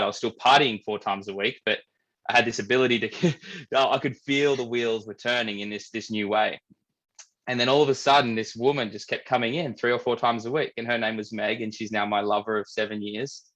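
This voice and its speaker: A young Australian man from New South Wales, a men's coach, telling his life story in a relaxed, candid way and often repeating words as he thinks. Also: on the low side. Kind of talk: casual storytelling interview.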